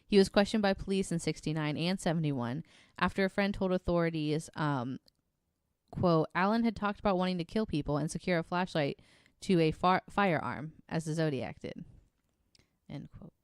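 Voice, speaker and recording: A woman's voice: low voice, normal speed, clean tone, dry recording, studio quality. A woman co-hosting a casual podcast, mixing wry banter with reading from notes in an informal way. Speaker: podcast co-host.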